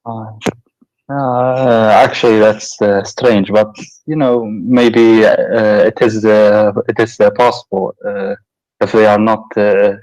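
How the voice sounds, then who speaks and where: A man calling into a live debate, answering hesitantly with many fillers and halting phrases. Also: on the low side, little pitch movement, a little mellow, no room sound, highs cut off. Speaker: caller. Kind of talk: call-in debate.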